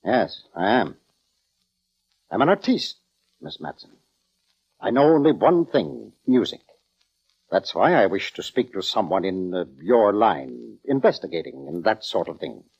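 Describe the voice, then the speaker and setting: An actor playing a pompous, self-important orchestra conductor in 1940s radio drama style, formal, theatrical and easily affronted. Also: male voice, lowish voice, sing-song, measured pace, gravelly, pauses often, darkish tone, husky, heavily acted. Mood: troubled, indignant. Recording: dull vintage radio recording.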